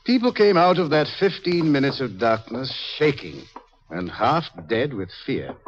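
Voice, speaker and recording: A dramatic, animated doom-saying scientist. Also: male voice, medium pitch, soft background music. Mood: darkly confiding. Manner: deliberate, dramatic, hesitant pauses, rhetorical. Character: grim, theatrical scientist doctor foretelling doom. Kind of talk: 1950s radio drama acting. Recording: dull, lo-fi 1950s radio recording.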